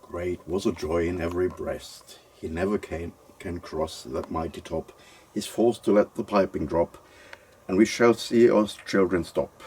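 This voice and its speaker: A man reading narrative verse aloud as an amateur, halting and restarting words as he goes. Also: deep voice, darkish tone, a little hiss or hum, poor audio. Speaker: amateur volunteer reader.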